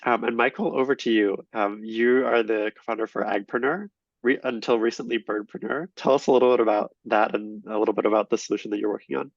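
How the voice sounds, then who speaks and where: A man moderating an online panel, pausing often with hesitant ums as he introduces speakers and asks questions. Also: fairly low pitch, steady tone, vocal fry, no echo. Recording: dull lo-fi audio.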